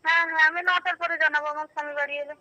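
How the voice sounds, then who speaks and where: A woman's voice: sits high, steady tone, rapid-fire, bright, forceful tone, no room sound, muffled recording. A woman, answering in a casual phone conversation. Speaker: person called on the phone.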